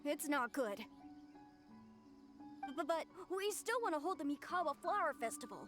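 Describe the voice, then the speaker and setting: A woman's voice: high-pitched, very animated, normal speed. A woman voicing a polite, earnest and slightly timid youngster in a video game, with music behind. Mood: hesitant.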